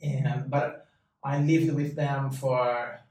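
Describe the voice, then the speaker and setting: A man's voice: mid-range voice, flat delivery, a little mellow. Hesitant man, echoey and distant. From Brazil. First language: Portuguese. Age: late 30s. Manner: hesitant, halting, searches for words. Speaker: interview guest.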